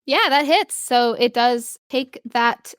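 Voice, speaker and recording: A woman's voice: on the high side, lively intonation, slow pace, a bit bright, clear, resonant tone, dry recording, studio quality. A woman speaking casually and encouragingly as game master, narrating a tabletop game.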